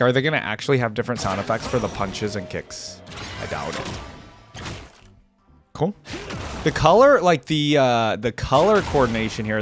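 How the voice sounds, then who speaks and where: A man with a mid-range voice gives off-the-cuff commentary as a reaction host. He speaks informally, thinking aloud and exclaiming, with music clearly audible behind him. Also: mid-range voice, a bit bright. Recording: music and game audio behind voice.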